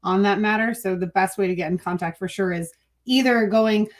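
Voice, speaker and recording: A woman's voice: mid-range voice, a little fast. A woman in her thirties chatting casually as an interview guest over a video call. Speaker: interview guest, marketing agency owner. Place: room at home, on a video call.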